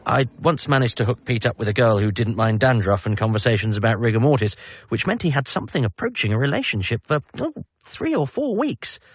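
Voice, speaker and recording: An actor playing a wry, self-deprecating man narrating his own story in a radio comedy drama, with deadpan asides. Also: medium pitch, a little fast, hardly any pauses, breathy edge, no room sound, lo-fi sound.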